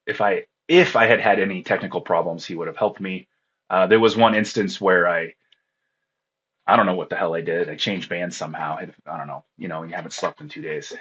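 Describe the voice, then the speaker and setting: A man's voice: sits low, a bit bright, breathy edge, dull audio. A man being interviewed, talking casually in a tired, rambling way and laughing often. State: groggy from lack of sleep. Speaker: interview guest, contest radio operator.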